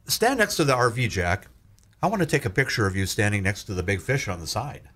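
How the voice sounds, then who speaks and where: A patient, practical grandpa in a radio drama. His pitch moves freely, and clear noise with clicks sits behind him. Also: very animated, a little fast. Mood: friendly, practical. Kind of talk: radio play acting.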